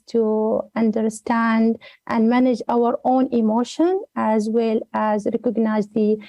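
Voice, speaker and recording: Emirati woman explaining slowly, mellow and dry. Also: on the high side, dry recording. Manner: careful, methodical, many fillers. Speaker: guest, executive coach. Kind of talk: explaining a concept in an interview.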